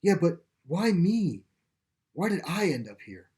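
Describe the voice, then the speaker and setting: A man reading a story plays an earnest, grieving boy who loves his dog, speaking haltingly and with emotion. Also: fairly high pitch, very animated, warm tone, pitched above the performer's own voice. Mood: doubtful. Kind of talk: storybook character dialogue.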